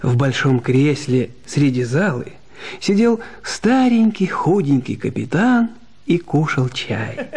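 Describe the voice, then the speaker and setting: Expressive man narrating, lively pitch. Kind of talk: expressive scripted story narration.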